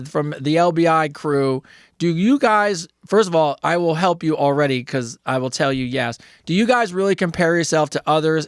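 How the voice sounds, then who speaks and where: A man's voice: a little high, clean tone, dry recording, studio quality. Rambling middle-aged male podcast co-host. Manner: rambling, loose storytelling with lots of restarts and swearing. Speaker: podcast co-host reading listener questions. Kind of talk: casual comedic chat.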